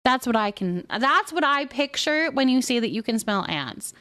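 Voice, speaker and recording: A woman with a fairly high voice talks casually on a livestream. She is chatty, moving between comments she reads aloud and her own musings on them, in an unscripted, conversational way. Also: animated, moderate pace, pushed and bright. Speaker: live streamer reading viewer comments.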